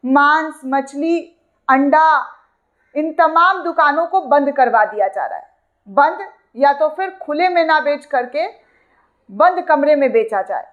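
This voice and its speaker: High-pitched woman giving news commentary. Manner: emphatic, repeats phrases, poses rhetorical questions. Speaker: online news presenter. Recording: dull, lo-fi sound.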